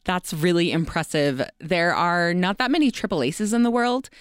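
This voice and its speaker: A woman hosts a conversational podcast interview. Her voice sits a little low and is smooth and clean. The recording is dry, with hardly any room sound around her. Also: moderate pace, dry recording.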